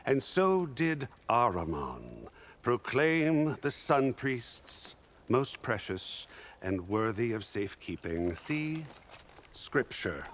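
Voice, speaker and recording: A pompous, indignant scholar-priest from a video game. His delivery is theatrical and animated, with formal archaic wording and an unhurried, declaiming pace. Some ambient noise sits behind him. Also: medium pitch. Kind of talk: video game cutscene acting.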